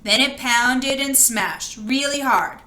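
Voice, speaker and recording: A woman reading a children's storybook aloud in an expressive narrating style. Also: high-pitched, slow, reverberant. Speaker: storybook reader.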